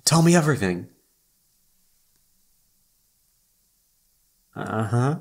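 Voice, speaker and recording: A man's voice: mid-range voice, sing-song, fairly quick, gravelly. A man acting as an informal, emotive young best friend who is secretly in love, in a one-sided roleplay audio drama. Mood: astonished. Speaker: voice actor.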